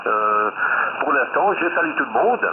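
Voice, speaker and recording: A man's voice: mid-range voice, very animated, moderate pace, phone-quality sound. A very noisy, phone-thin radio link carries a man making casual amateur radio contact. He rambles along loosely, padding his turns with little tag words. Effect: two-way radio. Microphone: phone linked to a radio server. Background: loud radio noise.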